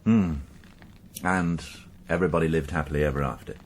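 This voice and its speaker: An actor playing a quietly sympathetic police inspector in a radio drama, putting short, probing questions. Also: male voice, extremely low pitch, steady tone, brisk, slight background noise. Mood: pointed, insistent. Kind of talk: naturalistic radio drama acting.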